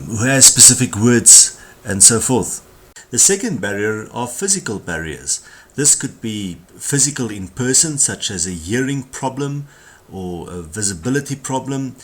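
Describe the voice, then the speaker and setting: A man with a South African accent giving a communication skills tutorial, explaining ideas in a conversational way with emphatic asides. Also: mid-range voice. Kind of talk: tutorial lecture.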